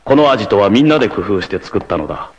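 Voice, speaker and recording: A man's voice: fairly low pitch, very animated, quick pace, dull audio, slight background noise. A man voicing a noble, self-sacrificing blind warrior leader in a 1980s anime, with a solemn, formal, declamatory delivery. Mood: serene, gentle. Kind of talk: 1980s anime martial-arts voice acting.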